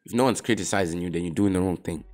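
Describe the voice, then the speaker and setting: Young rapper, low-pitched and easygoing, talking straight to camera over soft music. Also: male voice, racing. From Zimbabwe. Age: young adult. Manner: relaxed, laid-back, drops in "man" often. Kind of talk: casual talk to camera answering questions.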